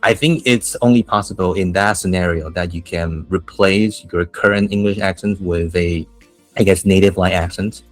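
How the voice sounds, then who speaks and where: A man with a Taiwanese accent who teaches English, chatting casually and telling animated stories with laughs and restarts. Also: sits low, normal speed, no echo, soft background music. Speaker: English teacher, podcast host. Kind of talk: casual chat.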